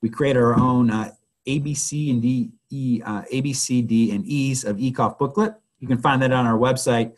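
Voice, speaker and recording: Man, hoarse webinar presenter, husky, halting with lots of fillers, medium pitch. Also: fairly even intonation. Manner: halting, many fillers and restarts. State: hoarse, losing his voice, clearing his throat. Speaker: school administrator presenting a webinar.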